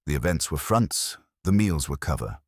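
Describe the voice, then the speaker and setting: Male reader as grave storybook sleuth, bottom-register, pronouncing verdicts with deliberate weight and varied intonation. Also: rumbling low, expressive, dry recording, clean studio sound. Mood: grave, certain. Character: stern, deliberate male detective, grave and unhurried.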